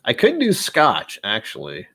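A man's voice: lowish voice, huge pitch swings, unhurried, vocal fry, pushed and bright, slight background noise. A man in his thirties chatting casually on a home livestream, rambling and self-mocking, sometimes trying out joke accents. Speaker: streamer. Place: home room with shelves of bottles.

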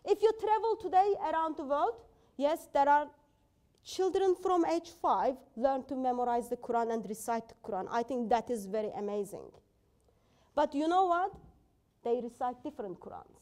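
High-voiced woman debating, non-native English. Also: animated, moderate pace, a little mellow. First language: not English. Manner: assertive, challenging, non-native phrasing. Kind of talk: live debate rebuttal.

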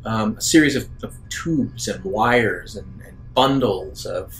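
A man narrating an improvised tabletop roleplaying game as game master, in a measured way with hesitant pauses. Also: on the low side, fairly bright tone, soft background music. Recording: roomy echo, faint background noise.

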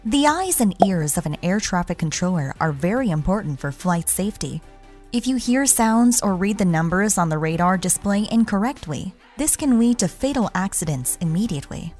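A woman reading scripted explainer narration in a measured, instructional way. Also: medium pitch, animated, a little mellow. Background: background music.

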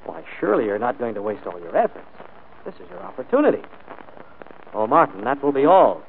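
An actor playing a wealthy, proud and urbane employer in a 1940s radio drama, speaking in a clipped, imperious way. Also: male voice, medium pitch, sing-song, a little fast, vocal fry. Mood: cold, sarcastic. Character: wealthy, commanding employer, suave and proud gentleman. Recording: tinny, noisy 1940s radio broadcast.

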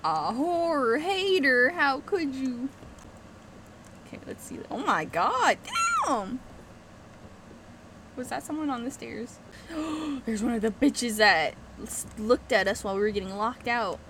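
An excitable young woman narrating her gameplay, her pitch leaping all over as she reacts. She talks into a headset with faint background noise. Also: measured pace. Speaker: streamer. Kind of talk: casual gameplay commentary.

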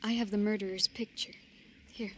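A woman as a prim, even-toned earnest tourist. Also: mid-range voice, moderate pace, close to an everyday voice. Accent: American. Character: prim, earnest female tourist, proud amateur witness. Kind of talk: old-time radio crime drama acting. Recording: old radio recording, faint noise.

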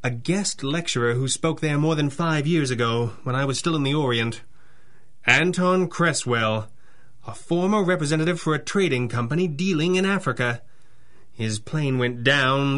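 A man's voice: on the high side, a bit bright, lightly acted. A man playing a composed, analytical masked crime-fighter in a 1930s-style radio drama, speaking formally and precisely.

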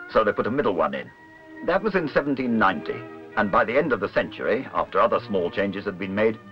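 A man reading scripted documentary narration. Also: fairly low pitch. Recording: muffled old film soundtrack with music. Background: music.

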